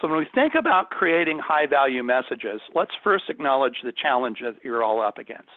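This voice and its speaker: A man's voice: mid-range voice, big pitch swings, brisk, dry recording. Man presenting over thin, phone-like audio. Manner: emphatic, instructive, addresses listeners with questions. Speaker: corporate communications trainer. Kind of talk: conversational presentation in an online workshop. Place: indoors, on a video call.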